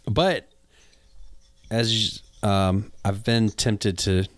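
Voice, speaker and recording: A man with a fairly low voice talks casually as co-host of a gardening show. He explains things conversationally and without a script. The sound is very dry, with no room echo. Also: extremely slow. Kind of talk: casual unscripted co-hosted chat.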